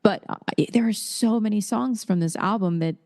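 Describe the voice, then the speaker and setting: A woman's voice: medium pitch, moderate pace, a little mellow. A woman co-hosting a podcast in a casual, conversational style. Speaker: podcast co-host. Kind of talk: casual chat.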